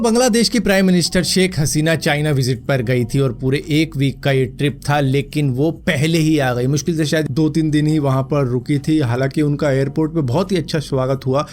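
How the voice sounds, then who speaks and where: A man's voice: medium pitch, darkish tone. A man hosting a news roundup, explaining stories in an informal, conversational way and addressing viewers as friends. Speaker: host of a news roundup show.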